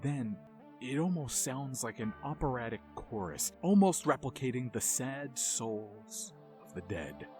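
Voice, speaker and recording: A man narrating a scripted video essay as its host, with an expressive, dramatic storytelling delivery. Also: mid-range voice, a little slow. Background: music under the voice.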